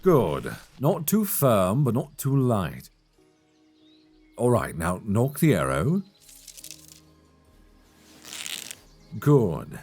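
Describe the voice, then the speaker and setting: A man voicing a good-humored, teasing elf hunter in a soft ASMR fantasy roleplay, close to a binaural microphone. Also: on the low side, wildly swooping pitch, slow pace, slightly rough, faint music behind, exaggerated voice. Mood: patient coaching. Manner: playful, gently instructing, chuckling often. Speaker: voice actor in audio roleplay.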